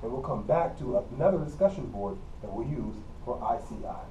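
A man's voice: mid-range voice, lively intonation, brisk, dark tone, lo-fi sound. Midwestern male instructor, roomy and distant. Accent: Midwestern American. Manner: plain, repetitive teacherly explaining with frequent coughs. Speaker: college communication instructor. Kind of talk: recorded classroom lecture. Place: empty classroom with whiteboard and air conditioner. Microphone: camera mic from across the room. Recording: roomy, distant camera audio with faint hum.

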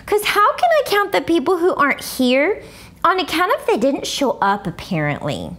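A woman voicing an excitable, blunt first-grade girl in an expressive children's book read-aloud, with childlike grammar and exaggerated emphasis. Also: extremely high pitch, normal speed, pitched above the performer's own voice, heavily acted. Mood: dramatic, earnest.

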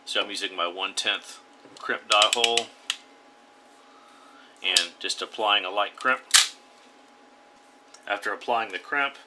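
A man hosting a radio hobby channel, calmly talking viewers through a hands-on build step by step. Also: lowish voice, slow, long pauses, very noisy. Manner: plain, instructive, step-by-step. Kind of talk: DIY tutorial voice-over.

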